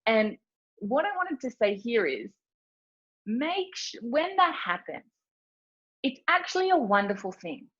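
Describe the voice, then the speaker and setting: A woman's voice: fairly high pitch, very animated, measured pace, long pauses, fairly bright tone, husky. An Australian woman giving a chatty, informal webinar presentation, telling stories with lots of fillers. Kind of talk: casual presentation talk. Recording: dull lo-fi webinar audio.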